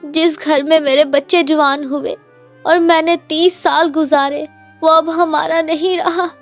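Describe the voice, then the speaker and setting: A woman's voice: high-pitched, steady tone, measured pace, faint music behind. A woman voicing an elderly, anxious mother-in-law in a cartoon, plaintive and dramatic. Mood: grieving.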